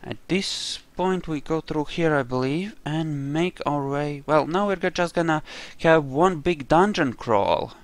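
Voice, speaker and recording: A man's voice: a little high, a little slow, few pauses, no room sound. A man giving casual commentary on a roleplaying game playthrough, rambling as he thinks aloud, with a dry, wry sarcasm. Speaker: gamer narrating a roleplay playthrough.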